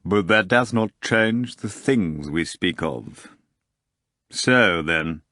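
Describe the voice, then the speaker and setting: A man voicing a cold, commanding male spirit of evil who speaks slowly and curtly. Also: sits low, expressive, pushed and bright, no echo, clean studio sound, exaggerated voice. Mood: imperious. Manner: slow, curt and imperious.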